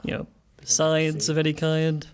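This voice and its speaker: A man's voice: fairly high pitch, monotone, unhurried. A man playing a tabletop game, chatting casually as he describes his character's actions.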